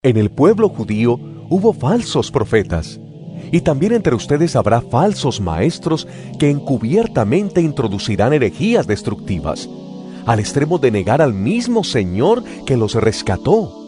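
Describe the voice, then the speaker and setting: A man giving a dramatized Bible reading in a slow, solemn and expressive way. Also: medium pitch. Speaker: scripture reader. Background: music.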